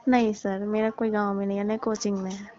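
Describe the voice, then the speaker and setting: A woman's voice: mid-range voice, level tone, quick pace, mellow, natural voice. A young woman playing a coy, bashful female student, speaking hesitantly and pleadingly in casual conversation. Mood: defensive, placating. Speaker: performer in a comic prank-style phone call skit. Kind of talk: casual phone conversation skit. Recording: muffled lo-fi phone call recording.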